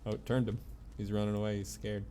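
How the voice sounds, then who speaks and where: A man's voice: lowish voice, flat delivery, quick pace, noisy background. A man giving casual live commentary on a video game, muttering to himself with short exclamations.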